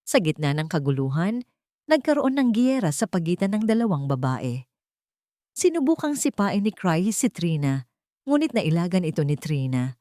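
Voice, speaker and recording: Lady narrator doing dramatic story reading, lowish voice, very dry recording. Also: very animated, moderate pace, dark tone, dry recording.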